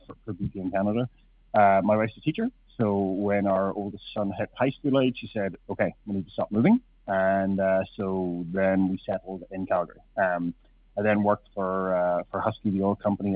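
A middle-aged man with an Irish accent, a company CEO answering questions at a conference presentation, halting with many restarts. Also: lowish voice, no room sound. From Ireland. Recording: husky voice over a tinny phone-like line.

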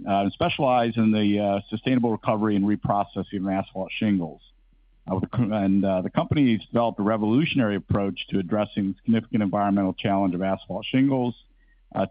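A man moderating a conference session, introducing the company and asking questions briskly. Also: lowish voice, no echo. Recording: dry, dull lo-fi sound.

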